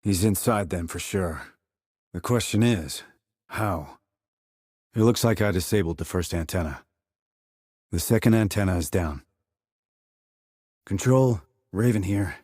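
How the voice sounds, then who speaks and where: Low, husky military sniper. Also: male voice, unhurried, long pauses, a little mellow, no echo. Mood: businesslike. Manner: clipped radio-style reports, dry and controlled. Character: terse, dry, confident male military sniper on a mission. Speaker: video game voice actor. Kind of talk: scripted military game dialogue.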